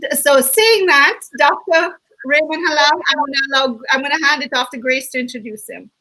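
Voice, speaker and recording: A woman co-hosting an online summit on a video call, bubbly and quick to laugh, often correcting herself mid-sentence. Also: high voice, pushed and bright, poor audio. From Jamaica. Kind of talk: enthusiastic webinar hosting.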